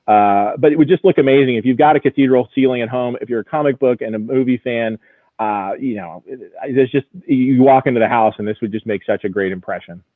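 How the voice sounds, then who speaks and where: A man presenting auction lots in an informal live preview over a video call, enthusiastic and detailed, often pausing to find his phrasing. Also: medium pitch, no echo, narrow, tinny audio.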